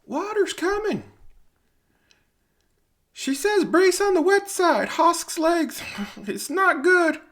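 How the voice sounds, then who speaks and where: Man voicing an urgent, high-pitched mine worker. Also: very animated, slow, long pauses, a bit bright, heavily acted. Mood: urgent, grim. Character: urgent shouting messenger calling up from the flooded mine shaft. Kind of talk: audio drama character voice.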